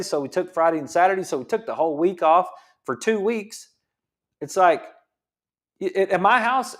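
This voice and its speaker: A middle-aged man hosting a health show as a chiropractor, talking casually and rambling with many restarts. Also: on the high side. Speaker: chiropractor host. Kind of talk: casual health talk monologue.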